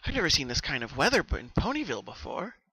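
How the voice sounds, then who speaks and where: A man's voice: fairly high pitch, very animated, fast, sharp tone, slightly rough, no echo, exaggerated voice. A man voicing a bewildered, innocent young female pony in a dramatic storybook reading, puzzled and questioning. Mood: alarmed, bewildered.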